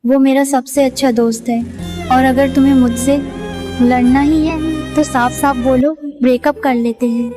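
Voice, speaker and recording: A woman acting as a high-voiced, defensive young girlfriend. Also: fairly even intonation, moderate pace, natural voice. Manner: defensive, exasperated. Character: defensive, irritated young girlfriend insisting on her love. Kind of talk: dramatized breakup phone conversation in Hindi. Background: background music.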